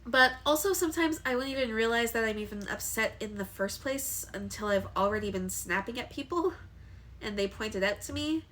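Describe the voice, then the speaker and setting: A woman's voice: fairly high pitch, animated, a little fast, a bit bright. A young woman with a congested voice from allergies, talking candidly to camera in a personal vlog, hesitant with frequent ums. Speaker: vlogger.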